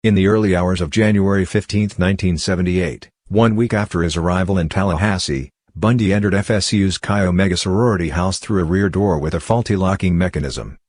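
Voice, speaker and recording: A man reading scripted true-crime documentary narration in a measured, formal manner. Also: low-pitched, darkish tone, dry recording, clean studio sound.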